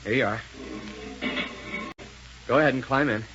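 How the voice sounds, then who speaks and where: A man playing a brisk, folksy space adventurer hero in a 1930s radio serial. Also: medium pitch, over music, lightly acted. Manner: folksy, decisive. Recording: muffled, noisy 1930s radio recording.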